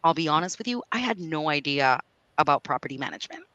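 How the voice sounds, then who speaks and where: A woman's voice: deep voice, very animated, quick pace, fairly bright tone, dry recording, muffled recording. A woman from New York, a guest on a video call interview, talking in an upbeat, chatty way with frequent fillers.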